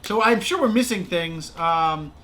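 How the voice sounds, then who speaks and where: A high-pitched man hosts a relaxed crafting stream. His voice is bright and forceful, and he talks in a playful, informal way over some background noise. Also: unhurried. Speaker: livestream host. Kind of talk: casual chat while doing crafts.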